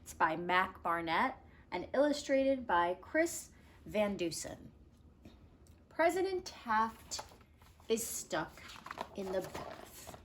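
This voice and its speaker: A woman reading a picture book aloud in an expressive, animated way with deliberate phrasing. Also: mid-range voice, a little hiss or hum. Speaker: storyteller reading aloud to children.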